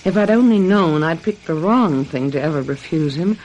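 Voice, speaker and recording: A devoted, uncomplicated wife in a radio thriller. Her voice is on the low side, languid and yielding. There is some background noise on the recording. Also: expressive, normal speed, a little mellow, lo-fi sound. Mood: wistful, confiding. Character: trusting, uncomplicated, devoted young wife, easily swayed. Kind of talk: radio mystery drama acting with confiding narration.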